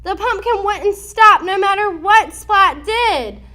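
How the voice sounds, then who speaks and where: A woman narrating a children's storybook as an expressive read-aloud, with dramatic, deliberate emphasis. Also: very high voice.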